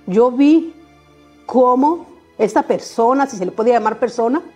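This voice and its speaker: A woman's voice: on the high side, animated, moderate pace, a little mellow, soft background music. A grieving mother gives an unscripted public speech into a handheld microphone, her voice raw with pain. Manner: raw, pained, insistent.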